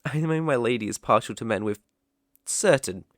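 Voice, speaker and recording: A man's voice: mid-range voice, expressive, normal speed, no room sound, studio quality, natural voice. A man with a British accent playing a devoted, proper butler in an intimate ASMR romance roleplay, polite, deferential and hesitant. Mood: curious, teasing. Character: young male butler, devoted, proper, flustered by love.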